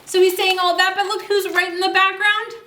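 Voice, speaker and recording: A woman hosting story time, reading a picture book to young children in an animated, questioning way. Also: very high voice, brisk, slight background noise.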